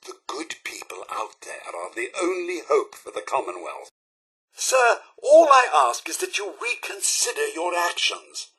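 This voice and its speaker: An actor playing a prim, loyal but disapproving robot butler in a video game, with a British accent and a formal, theatrical, fussy manner. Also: male voice, high-pitched, creaky, pushed and bright, slightly rough, heavily acted. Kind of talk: scripted game companion dialogue.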